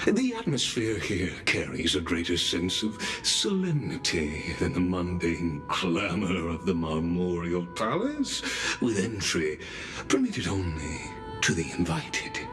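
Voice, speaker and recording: Game role: suave male schemer, very low voice, lively swooping pitch, unctuous formality, music behind. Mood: courteous, veiled menace. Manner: formal, theatrical, unctuous, with drawn-out pauses. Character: suave, scheming adult male official, courteously menacing. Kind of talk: video game voice acting.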